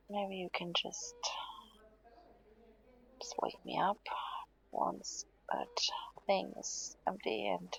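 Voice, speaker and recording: A woman playing a weary, stubborn but affectionate hospital patient in an intimate audio roleplay, speaking softly and hesitantly, often half-whispering. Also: on the low side, gravelly, muffled recording, exaggerated voice. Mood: drowsy, yielding. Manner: hesitant, halting, reassuring, drowsy.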